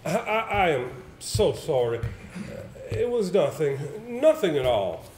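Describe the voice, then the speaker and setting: Stage drama: male actor as haunted, evasive, polite gentleman, halting delivery, roomy recording with faint noise. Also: on the high side, very animated. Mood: shaken, apologetic. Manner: halting, stammering, formal. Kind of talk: stage play acting. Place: stage in a theatre.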